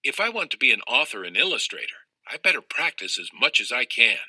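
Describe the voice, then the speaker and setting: A man's voice: mid-range voice, big pitch swings, a little fast, bright, forceful tone, husky, no echo, heavily acted. A man voicing an earnest, determined young schoolgirl in a read-aloud children's story.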